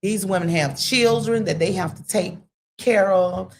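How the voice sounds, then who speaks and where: Emphatic woman with a deep voice. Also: measured pace, a little hiss or hum. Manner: emphatic, preachy, talks straight to women. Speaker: podcast guest. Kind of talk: casual panel talk.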